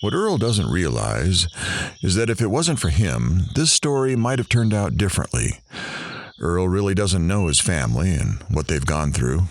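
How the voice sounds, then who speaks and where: A man's voice: low-pitched, very animated, normal speed, vocal fry, full character voice. A man playing a snide, impatient reporter who narrates an audio drama with mocking, conversational asides. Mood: pitying. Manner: sardonic, conversational, theatrical asides.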